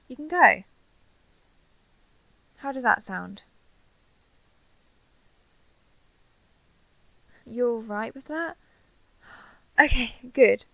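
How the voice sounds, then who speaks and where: Woman playing a gentle, creaky-voiced giant dragon girl. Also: fairly high pitch, dry recording, poor audio. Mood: earnest, coaxing. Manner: gentle, reassuring, hesitant. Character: caring, gentle giant dragon girl, college student, slightly possessive. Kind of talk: roleplay audio acting, addressing the listener intimately.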